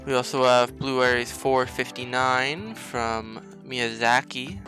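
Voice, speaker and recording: A man's voice: medium pitch, slow, sharp tone, soft background music. A man hosting a gaming video, explaining a game step by step in tutorial-style commentary.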